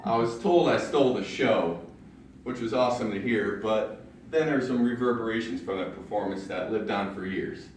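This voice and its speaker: A young man from Ohio with a deep voice, giving a prepared personal speech with self-deprecating jokes at a public speaking club. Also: normal speed, distant mic, slight background noise. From Ohio, USA. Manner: self-deprecating humor, storytelling with punchlines. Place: large meeting room in a library. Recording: very echoey room, recorded from afar.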